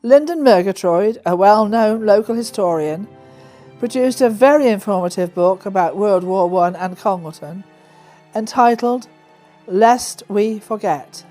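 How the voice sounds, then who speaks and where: Woman, mellow tone, scripted documentary narration, music behind. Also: mid-range voice, unhurried.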